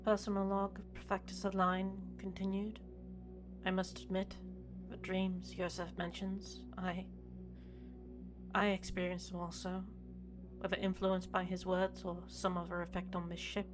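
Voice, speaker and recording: A woman playing a dutiful, formal starship prefect with a measured, official manner in a sci-fi audio drama. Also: fairly low pitch, monotone, music in the background, close to an everyday voice. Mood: troubled.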